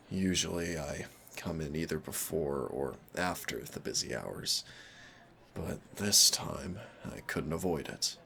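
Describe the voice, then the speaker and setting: Casting: male actor for awkward, gentle cafe flirt in an audio roleplay; very low voice with gravelly fry, nervous, hesitant delivery. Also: exaggerated voice. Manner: hesitant, nervous, apologetic, chatty. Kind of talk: soft-spoken audio roleplay.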